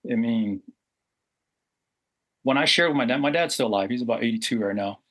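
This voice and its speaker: A man's voice: medium pitch, brisk, fairly bright tone. A man in his forties from Samoa, talking earnestly as an interview guest, reflective, with frequent fillers and restarts. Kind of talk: candid interview talk.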